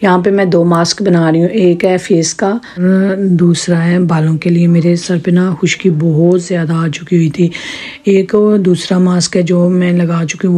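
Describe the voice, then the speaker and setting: A woman with a sore, hoarse throat chatting in a rambling home vlog with her viewers. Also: on the low side, warm tone. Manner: chatty, rambling with many restarts. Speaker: vlogger. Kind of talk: casual home vlog narration.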